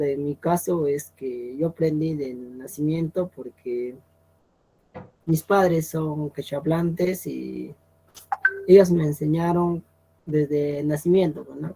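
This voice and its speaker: Man, student, very low gravelly husky dark voice, slow. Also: low-quality recording. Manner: slow, matter-of-fact.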